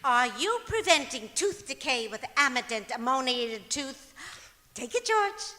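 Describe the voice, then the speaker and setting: Daffy sitcom wife, woman actor: animated swooping delivery, earnest and innocent, old-time radio style in an echoey hall. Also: high voice, measured pace, heavily acted. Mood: sweetly promotional. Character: scatterbrained chirpy wife of a radio comedian. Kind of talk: old-time radio comedy acting on stage. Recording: echoey auditorium stage recording.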